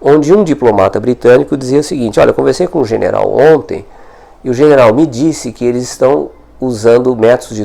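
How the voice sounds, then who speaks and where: Low, gravelly-voiced older male historian. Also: dark tone. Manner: thoughtful, self-correcting, searching for words. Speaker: historian guest. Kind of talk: conversational interview answer.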